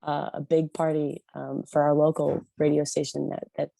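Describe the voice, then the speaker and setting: A woman from the Detroit area with a notably low, gravelly voice talks casually as an interview guest. She rambles with fillers and restarts. The sound is dry and close. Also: completely flat delivery, moderate pace, warm tone, dry recording. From Detroit area, USA. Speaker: rock band lead singer, guest.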